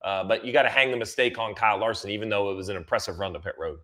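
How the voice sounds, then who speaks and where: A man's voice: fairly low pitch, very fast, bright, forceful tone. A man giving conversational motorsport analysis, emphatic and repeating phrases to drive points home.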